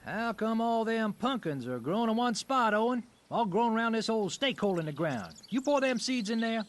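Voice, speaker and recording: An actor playing a rough but wily Appalachian moonshiner father in a radio drama, with drawling, ungrammatical country speech. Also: high voice, big pitch swings, moderate pace. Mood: stern. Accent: American Appalachian.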